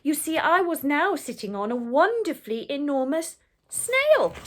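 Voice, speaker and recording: A gleeful, ravenous French crowd from a children's storybook, put on by a woman reading aloud. The voice is pitched high, comes across with a mock French accent, and shouts each word out slowly. It swings widely up and down in pitch. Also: very animated, heavily acted. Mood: bewildered shouting. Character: mob of greedy, excitable French townsfolk.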